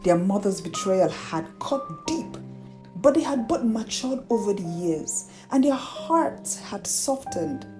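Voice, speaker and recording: A woman narrating a scripted folktale as a storyteller, in an unhurried, measured delivery. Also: mid-range voice, expressive. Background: background music.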